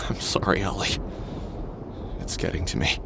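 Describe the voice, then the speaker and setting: An actor playing a weary, sardonic middle-aged man who broods and mutters to himself in a video game. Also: very noisy. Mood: defeated. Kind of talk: video game voice acting, brooding inner monologue and dialogue.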